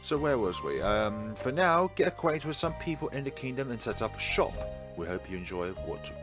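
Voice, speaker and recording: A man's voice: medium pitch, sing-song, moderate pace, over music. A man hosting a gaming video, giving rambling commentary and reading game text aloud. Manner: rambling, musing asides.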